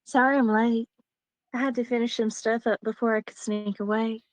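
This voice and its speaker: Woman playing secretive female nurse, table-read drama. Coy, flirty, on the higher side, dry sound. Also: steady tone, moderate pace, no echo, lightly acted. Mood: apologetic, intimate. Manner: coy, suggestive. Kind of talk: amateur screenplay table read.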